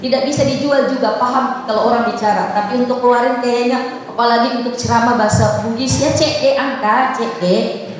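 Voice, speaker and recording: A woman from West Java with a Sundanese accent, preaching a lively sermon and playfully quizzing her audience. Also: a little high, brisk. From West Java, Indonesia. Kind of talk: lively sermon with Arabic chanting. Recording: echoey hall, distant mic, crowd.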